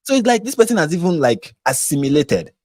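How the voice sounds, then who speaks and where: A Nigerian man hosting a live discussion, talking with animated emphasis and frequent restarts, his voice rising when he stresses a point. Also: on the high side, a little fast, dry recording, clean studio sound. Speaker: host.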